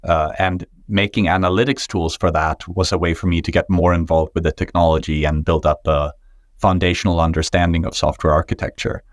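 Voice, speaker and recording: Deep-voiced Swedish man, dry sound. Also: fairly even intonation, a little fast, dry recording. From Sweden. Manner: thoughtful, with frequent uh fillers and restarts. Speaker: guest, tech startup founder. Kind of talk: podcast interview answers.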